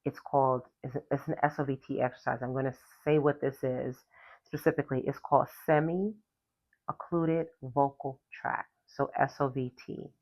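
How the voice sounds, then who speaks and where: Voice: mid-range voice, steady tone, moderate pace, darkish tone. A singer hosting a podcast, giving a casual lesson on vocal care. Talks emphatically, repeats key words and spells out terms. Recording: slightly dull lo-fi recording.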